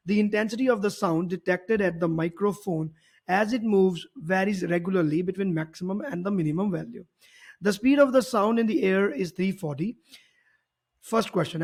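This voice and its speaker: A man's voice: high-pitched, a little mellow. A man teaching physics, explaining a worked problem step by step and often repeating and rephrasing his points.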